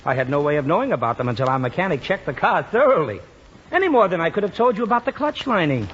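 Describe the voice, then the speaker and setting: A man's voice: fairly high pitch, big pitch swings, fast talker, a bit bright, husky, heavily acted. A man playing a slippery, mock-sincere American garage mechanic in a vintage radio comedy. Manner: wheedling, mock-sincere, hesitating while totting up. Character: fast-talking, slippery garage mechanic, shamelessly overcharging. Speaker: actor. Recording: noisy muffled old radio broadcast, audience behind.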